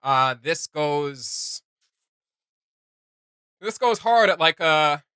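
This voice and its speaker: A man's voice: a little high, animated, very slow, pushed and bright, clean tone, no echo, clean studio sound. A man hosting a reaction video, giving casual commentary with emphatic delivery.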